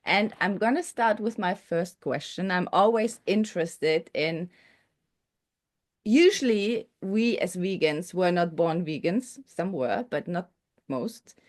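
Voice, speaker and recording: A woman's voice: fairly low pitch, expressive, slow pace. A woman hosting a personal interview, introducing and asking questions in a conversational style.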